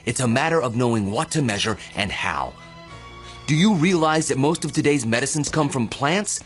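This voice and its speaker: An actor playing a world-weary, grave and rueful immortal man in a radio drama, speaking in a measured, philosophical way. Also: medium pitch, expressive, natural voice. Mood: impassioned. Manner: measured, philosophical, deliberate delivery. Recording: radio drama with music underneath.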